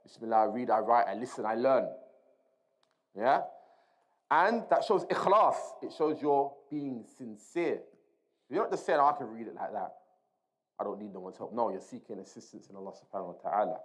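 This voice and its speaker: A man gives an Islamic lesson in a mid-pitched voice. He speaks as a teacher to students, moving between explanation and quoted Arabic terms. He repeats key phrases and checks that his listeners follow. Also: expressive, moderate pace. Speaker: religious teacher.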